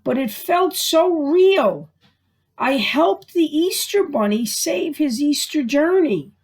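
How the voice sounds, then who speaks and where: A woman's voice: high voice, lively intonation, slow pace, darkish tone, voice pushed up. Sweet little storybook lamb girl voiced by a woman. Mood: surprised, excited.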